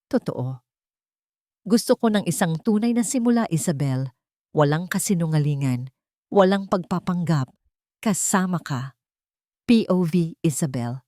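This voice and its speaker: A woman voicing an earnest, tender wealthy man in love in a narrated romance, speaking in a heartfelt, measured way. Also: on the low side, lively intonation, warm tone, no room sound, clean studio sound. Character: earnest, tender male billionaire confessing his love.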